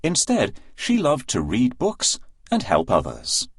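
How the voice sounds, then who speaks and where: A man narrating a scripted fairy tale for children with an expressive, deliberate storytelling delivery. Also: medium pitch, slightly rough, no room sound, studio quality.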